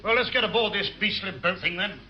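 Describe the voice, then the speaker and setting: Actor as high, blustering ambassador. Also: male voice, fast, muffled recording, natural voice. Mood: grumpy, impatient. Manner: pompous, irascible, scornful. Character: blustering, grumpy, upper-class male British ambassador. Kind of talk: classic British radio sitcom acting. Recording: very noisy old radio recording.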